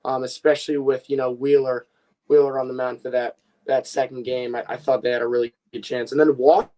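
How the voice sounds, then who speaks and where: A young man co-hosting a casual sports podcast, his voice a little worn from playing a game, recapping with halting restarts. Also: mid-range voice, flat delivery, warm tone. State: slightly lost voice after a game. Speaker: podcast co-host.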